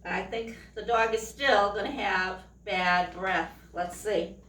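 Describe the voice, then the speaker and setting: A woman reading a children's picture book aloud expressively, pausing to put questions to young listeners. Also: mid-range voice, fairly bright tone. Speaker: storytime reader, teacher. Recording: echoey room, distant microphone.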